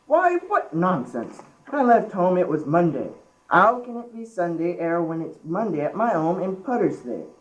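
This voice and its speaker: A woman's voice: on the low side, sing-song, moderate pace, muffled recording. A young teenage girl playing an exasperated, blustering male mill boss in an amateur school radio play. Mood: indignant.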